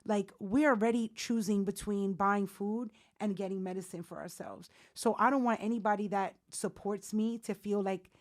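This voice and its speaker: A woman's voice: medium pitch, steady tone, normal speed, hardly any pauses. Outspoken, playful woman podcast guest. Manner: outspoken, playful, repeats phrases for emphasis, swears freely. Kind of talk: casual candid chat.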